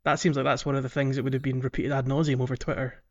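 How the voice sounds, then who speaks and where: A man's voice: medium pitch, flat delivery, racing. Man in dull lo-fi podcast chat. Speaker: podcast co-host. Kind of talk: casual chat.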